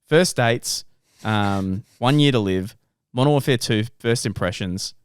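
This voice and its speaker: A young man co-hosting a podcast, easygoing and quick to laugh, reading out questions in casual chat. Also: fairly low pitch, smooth. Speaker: podcast co-host. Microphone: studio podcast mic.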